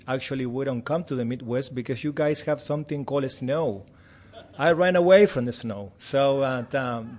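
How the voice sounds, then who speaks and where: A man's voice: mid-range voice, a little mellow. A man in his forties from Argentina with an Argentine Spanish accent, a native Spanish speaker giving a friendly, chatty missions report to a church with light self-deprecating humor.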